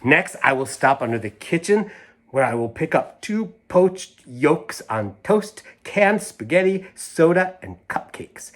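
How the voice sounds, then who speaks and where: A woman's voice: deep voice, big pitch swings, measured pace, pitched above the performer's own voice, exaggerated voice. Storybook role: sulky drama-queen little girl, voiced by a man, haughty and emphatic, every grievance precisely stated. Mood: defiant. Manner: pompous, emphatic, over-precise declarations.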